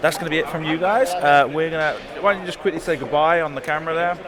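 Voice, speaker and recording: A man's voice: a little high, fast. A man hosting a gaming event, chatting with players after a match and thanking them, often restarting his sentences. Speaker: event host interviewing players. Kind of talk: casual post-match interview. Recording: crowd noise outdoors. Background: crowd chatter.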